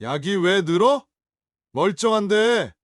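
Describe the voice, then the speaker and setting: Man acting as a drunk, scornful son, curt demands, high-pitched voice. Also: lively intonation, takes it slowly, no room sound, clean studio sound. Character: drunk, contemptuous adult son. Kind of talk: audio drama acting.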